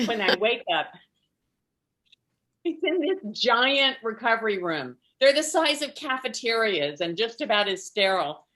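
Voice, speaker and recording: A middle-aged woman telling stand-up stories in a conversational way, with dramatic pauses. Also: a little high, sing-song, sharp tone, rough recording. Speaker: stand-up comedian. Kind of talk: stand-up comedy storytelling. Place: online video event.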